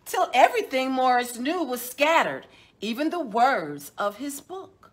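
A middle-aged woman, a children's storytime host, reading a picture book aloud in a measured, deliberate way with drawn-out words. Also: high-pitched, very animated. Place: indoors, small decorated room.

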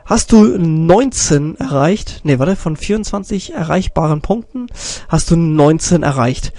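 A man's voice: a little high, animated, measured pace, dark tone, no room sound. Young man rambling through a channel review. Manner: rambling, self-correcting, many fillers. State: slight cold, clearing his throat. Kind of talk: casual screen-recorded commentary. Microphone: desk stand microphone.

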